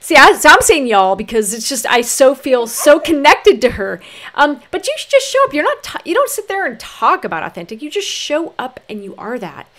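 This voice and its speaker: A woman hosts a casual interview, effusive and full of praise. Her pitch sits a little high and moves around a lot as she talks. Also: very animated, moderate pace. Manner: effusive, warmly encouraging, uses y'all. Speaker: host.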